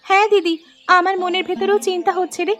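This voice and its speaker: A woman plays a young village wife in an animated folk tale, very high in pitch. She sounds anxious and earnest, full of exclamations, with faint music underneath. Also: fast. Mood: worried. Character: anxious young married village sisters, devoted to their husbands.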